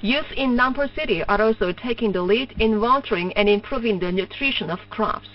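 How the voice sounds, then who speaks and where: A woman with a Korean accent reading formal scripted news over shortwave radio in a measured, stiffly official manner. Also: on the high side, recorded from a distance. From North Korea. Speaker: newsreader. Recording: muffled noisy shortwave radio with hum.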